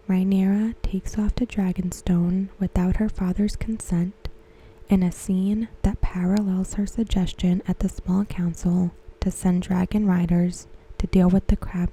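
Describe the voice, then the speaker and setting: A woman softly narrating a scripted ASMR recap in a gentle, measured way, with a breathy, whispery voice. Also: fairly low pitch, rough recording. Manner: gentle, measured, carefully phrased. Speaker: ASMR host reviewing a TV episode.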